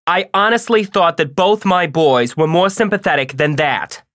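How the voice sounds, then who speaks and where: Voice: mid-range voice, very animated, measured pace, fairly bright tone, dry recording, studio quality. A narrator voicing a firm, caring mother who admonishes her sons in an audiobook. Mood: stern, disappointed. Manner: earnest, admonishing. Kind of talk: audiobook character voice.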